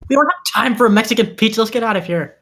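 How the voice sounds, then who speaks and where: A woman's voice: on the high side, animated, rapid-fire. A woman playing a hesitant, evasive male sidekick with a sheepish, pleading manner. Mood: urgent.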